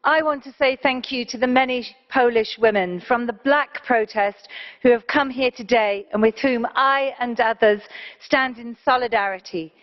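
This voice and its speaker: High-voiced woman giving an earnest speech. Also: highs cut off. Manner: earnest, declamatory address. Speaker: member of parliament. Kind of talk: formal parliamentary speech.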